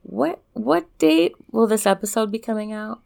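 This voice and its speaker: Expressive woman podcast co-host, lively pitch. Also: moderate pace, vocal fry. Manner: expressive, laughs often, swears playfully. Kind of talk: casual chat.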